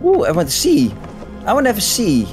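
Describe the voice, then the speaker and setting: A man chatting casually and excitably with teammates during co-op video game play. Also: high-pitched, a little fast. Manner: excitable, exclaiming. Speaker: gaming channel player. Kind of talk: casual co-op gameplay chat. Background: game music.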